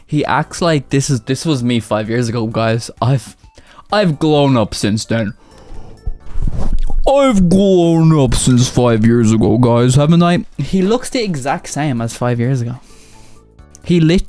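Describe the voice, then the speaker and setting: A man's voice: medium pitch, lively intonation, unhurried, a little mellow, soft background music. A man giving casual commentary on video clips, blunt and mocking, and he swears freely. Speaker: commentary YouTuber.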